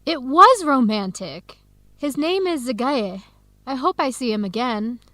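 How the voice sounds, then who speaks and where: An actress with an American accent playing an upbeat young Ethiopian American researcher in a radio drama, speaking in a clear, chatty, expressive way. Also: female voice, high-pitched, unhurried, dry recording, exaggerated voice. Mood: excited, smitten. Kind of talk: scripted radio drama dialogue for English learners.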